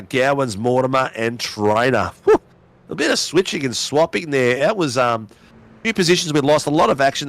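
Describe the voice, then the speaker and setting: A man with a hoarse voice calling a sim race with excited, rapid play-by-play. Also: medium pitch. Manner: fast, exclamatory, calls names rapidly. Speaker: race commentator.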